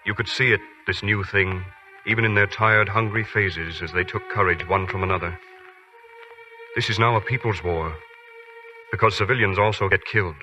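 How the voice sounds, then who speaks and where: An actor playing an earnest soldier who speaks in a stirring way about the war in a 1940s radio drama. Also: male voice, lowish voice, normal speed, sharp tone. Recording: dull old radio broadcast with music.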